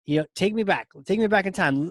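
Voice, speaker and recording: A chatty, enthusiastic male podcast host with a fairly high voice. His sound is close and dry, with no room around it, and he keeps stumbling into fresh versions of his questions. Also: fast, no echo. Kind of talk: conversational interview.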